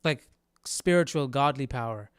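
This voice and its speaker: A man with a mid-pitched, smooth voice hosting a livestream study. He reflects casually, thinking aloud and seeking agreement as he goes. The sound is dry and close. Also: moderate pace, no room sound. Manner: thinking aloud, checking in with "right?". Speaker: livestream Bible study host.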